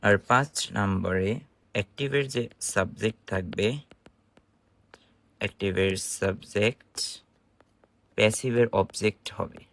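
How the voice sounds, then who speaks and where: Male online tutor, Bangladeshi accent, lowish pitch, dry close sound, explains by posing questions. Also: slow pace, pauses often, fairly bright tone, no room sound. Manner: explanatory, instructive, asking and answering own questions. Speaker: English grammar teacher. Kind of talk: informal online grammar lesson.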